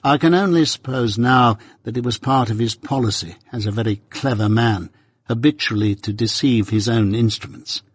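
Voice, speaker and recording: A man reading aloud, voicing a middle-aged law clerk who explains himself slowly and carefully. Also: mid-range voice, breathy edge, no echo, close to an everyday voice. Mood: earnest.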